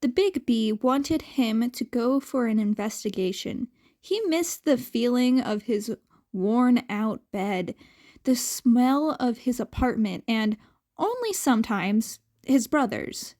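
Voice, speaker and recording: A woman's voice: a little high, lively intonation, takes it slowly, darkish tone. A woman reading a story aloud as an amateur fan fiction narrator.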